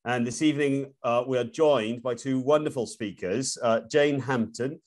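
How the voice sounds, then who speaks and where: A man's voice: mid-range voice, normal speed. A man in his forties hosting a live webinar from home over a video call, with plenty of fillers and restarts. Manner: hesitant, many fillers and restarts. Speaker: webinar host. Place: room at home. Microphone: laptop webcam on a video call.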